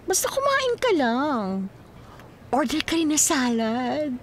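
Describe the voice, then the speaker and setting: A woman playing a flirtatious, bossy mistress in an audio drama, with coy, drawn-out, giggly teasing. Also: sits high, sing-song, a little hiss or hum, exaggerated voice. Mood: playful, coaxing. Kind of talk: Filipino radio-style drama acting.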